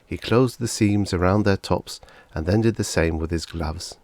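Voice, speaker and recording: A man's voice: low-pitched, moderate pace, a little mellow, dry recording. A man narrating fiction as a scripted audiobook reader.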